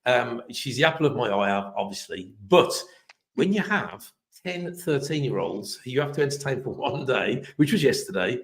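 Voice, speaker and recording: A man hosting a live webcast, chatty and upbeat, rambling with restarts, his voice a little hoarse. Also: medium pitch, lively intonation, normal speed. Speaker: host.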